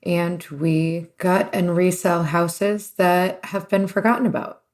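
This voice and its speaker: A woman from the East Coast of the United States talks as a guest in a casual interview. Her voice sits slightly low, and she is chatty and readily pokes fun at herself. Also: fairly even intonation, slow, darkish tone. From US East Coast, New Jersey and New York. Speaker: interview guest, renovation business partner.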